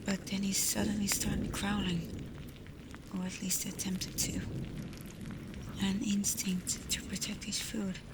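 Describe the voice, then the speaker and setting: Casting: woman to play protective female alpha werewolf for ASMR roleplay; hushed, unhurried, even delivery, gently teasing, loud storm ambience. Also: medium pitch, noisy background. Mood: tender, wistful. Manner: soft, breathy, often near a whisper, soothing. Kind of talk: ASMR roleplay, spoken intimately to the listener. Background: thunderstorm and rain ambience.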